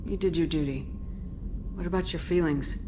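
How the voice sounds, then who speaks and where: A woman's voice: fairly low pitch, moderate pace, noisy background, lightly acted. A woman playing a tough, direct soldier commander in a video game, speaking in a terse, controlled way. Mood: measured concern. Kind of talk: dramatic video game acting. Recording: background music under the voice.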